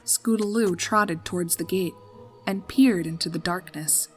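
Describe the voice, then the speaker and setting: A woman's voice: fairly low pitch, a little slow. A woman reading a scripted story as narrator in a dramatic storytelling style. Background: background music.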